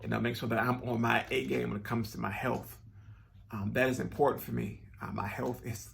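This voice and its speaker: Earnest gravelly man with a stuffy nose. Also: medium pitch, little pitch movement, brisk, fairly bright tone, slight background noise. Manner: earnest, rambling with many restarts and fillers. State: slightly nasal and stuffed up. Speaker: vlogger. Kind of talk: casual personal talk to camera.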